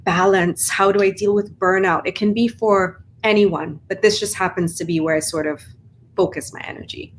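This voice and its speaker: A woman's voice: medium pitch, fairly even intonation, moderate pace, a little hiss or hum. A middle-aged woman from Trinidad and Tobago with a Trinidadian accent, a performance coach giving earnest explanations in an interview. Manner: earnest, explanatory, uses "right?" and "like" often. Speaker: mental performance coach, guest. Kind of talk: conversational interview.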